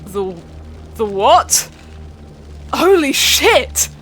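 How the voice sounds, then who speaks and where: A man's voice: very high-pitched, big pitch swings, drawn-out words, a bit bright, soft background music, full character voice. A man playing a frantic, blunt, sweary teenage boy in an audio drama. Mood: confused, alarmed. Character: panicked, sweary British teenage boy searching for sister.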